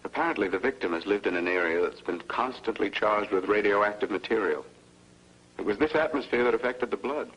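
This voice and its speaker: An actor playing a grave, guarded doctor with a formal, clinical manner, in 1950s B-movie style. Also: male voice, fairly low pitch, lively intonation, a little fast, fairly bright tone, breathy edge, lo-fi sound. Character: grave, guarded male doctor and blood researcher. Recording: old film audio with faint hum.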